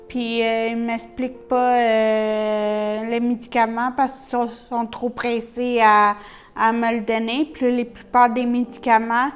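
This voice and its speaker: A woman with a Québécois accent, an adult literacy learner giving a personal testimony, halting often with fillers and pauses. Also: fairly high pitch, clear, resonant tone. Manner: hesitant, many pauses and fillers.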